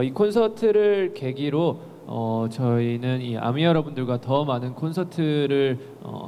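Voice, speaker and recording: A young man speaks politely into a handheld mic at a press conference, grateful and steady with small fillers. His voice is warm and mellow at a middle pitch. Faint hiss sits behind him.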